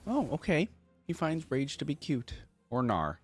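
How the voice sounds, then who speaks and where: A man's voice: fairly high pitch, huge pitch swings, moderate pace, slightly rough. A man hosting a reaction video, commenting casually and musing aloud in an informal way. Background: faint music.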